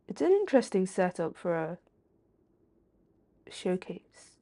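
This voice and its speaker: A woman's voice: on the low side, sing-song, slow pace, warm tone. A woman streaming a video game, giving casual commentary as she plays.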